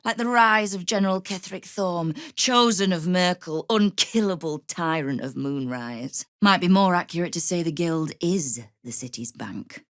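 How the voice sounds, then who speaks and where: Casting: woman to play tough thieves' guild boss for a game, female, low pitch, wry, controlled menace, dry close recording. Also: lively intonation, moderate pace, few pauses, no echo, natural voice. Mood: dry, businesslike. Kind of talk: video game character dialogue.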